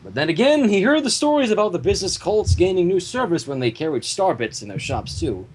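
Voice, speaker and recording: A man's voice: high voice, big pitch swings, a little fast, breathy edge, rough recording. A man reading fan fiction aloud as narrator, animatedly, with casual asides. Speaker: story reader.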